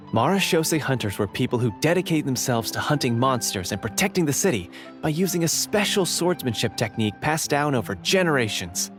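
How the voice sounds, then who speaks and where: An actor playing a courteous script manager in a video game, polite and articulate with a theatrical storyteller's flair. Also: male voice, medium pitch, natural voice. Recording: music behind the voice.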